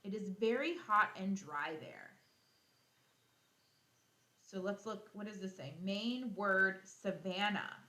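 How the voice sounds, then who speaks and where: Animated woman teacher reading aloud to children. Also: medium pitch, echoey room. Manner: animated, instructive, asks questions and answers them herself. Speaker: elementary school teacher. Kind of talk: read-aloud lesson for young children, explaining as she reads.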